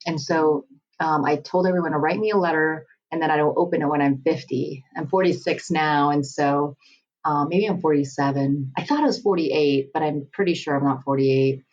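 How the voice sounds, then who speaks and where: A woman's voice: deep voice, fairly even intonation, normal speed, a little mellow, highs cut off. A woman in her forties talking candidly in a casual interview, laughing often. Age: 40s. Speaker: guest. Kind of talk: casual conversation.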